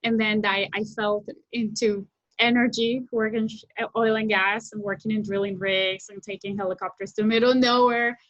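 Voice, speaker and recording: A woman's voice: mid-range voice, steady tone, moderate pace, no echo, dull audio. A woman from Colombia in her thirties, speaking as a guest on a video call, eager and talkative with many restarts and fillers. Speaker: guest, technology scout. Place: home room with window, indoors. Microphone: laptop webcam mic on video call.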